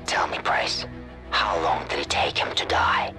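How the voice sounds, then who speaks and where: A man playing a cold Russian ultranationalist villain in a video game, taunting in a sneering, deliberate way. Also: extremely low pitch, bright, forceful tone, soft background music, heavily acted. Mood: menacing. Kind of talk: scripted game villain dialogue.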